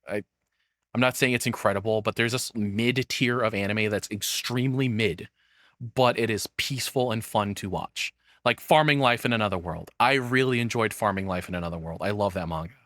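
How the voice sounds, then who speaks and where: A man's voice: fairly low pitch, a bit bright, no room sound. A man giving casual reaction commentary in a rambling, sweary, exasperated way. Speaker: streamer reacting to a video essay.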